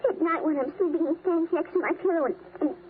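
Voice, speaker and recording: A woman's voice: very high-pitched, quick pace. A woman playing a frightened little girl in a vintage radio drama, tremulous and pleading. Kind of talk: 1950s radio drama acting. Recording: tinny narrow-band old-time radio recording.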